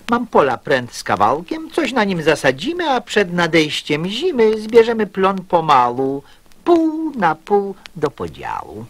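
A sly fox from a children's radio play. The voice is very low and takes its time, swooping through wide intonation as it wheedles like a smooth dealmaker. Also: female voice, heavily acted. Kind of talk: dramatized children's verse.

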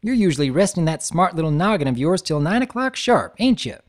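A man's voice: a little high, big pitch swings, fairly quick, pitched above the performer's own voice. A man doing a folksy Southern farm mare. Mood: hearty. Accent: Southern US drawl put on. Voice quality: folksy, drawling. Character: hardworking cheerful adult farm pony mare.

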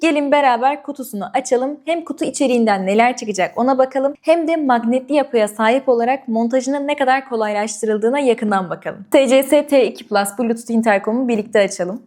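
High-voiced woman presenting a product review. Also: a little mellow. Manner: clear step-by-step explaining, addressing viewers directly. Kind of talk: product review and unboxing demonstration.

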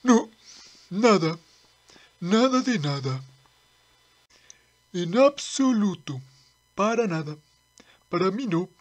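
A fussy old demon attendant from a cartoon dub, acted by a woman. He whines and frets deferentially in slow phrases that swoop widely in pitch. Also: expressive. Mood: reluctant. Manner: whiny, fretful, deferential. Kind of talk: amateur manga dub acting.

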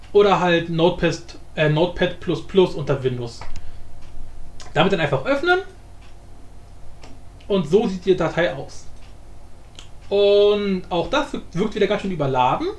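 A man giving a casual software tutorial in an informal, blunt, rambling way. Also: high-pitched. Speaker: tutorial presenter. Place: indoor room, voice-over for screen recording. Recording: roomy echo, light background noise.

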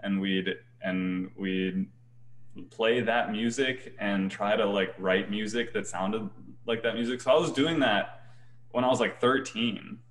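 A man's voice: on the low side, fairly even intonation, measured pace, a little hiss or hum. A young man with a light voice, a choir singer talking casually and animatedly on a video call from a small room, often restarting his sentences and laughing. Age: young adult. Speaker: choir bass singer, guest. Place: small bright room at home.

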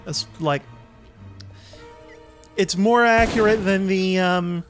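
High-pitched man doing game commentary. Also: slow pace. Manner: explanatory, blunt. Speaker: let's play commentator. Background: game music and gunfire.

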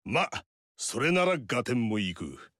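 A man voicing a gruff veteran warlord with a rough, teasing, drawling way of talking in video game dialogue. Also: mid-range voice, big pitch swings, takes it slowly, a bit bright, dry recording, clean studio sound. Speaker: warlord character.

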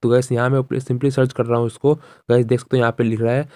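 A man's voice: mid-range voice, little pitch movement, fast, mellow, dry recording. A young man narrating a casual step-by-step phone tutorial, repeating instructions as he addresses viewers directly. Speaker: tutorial host.

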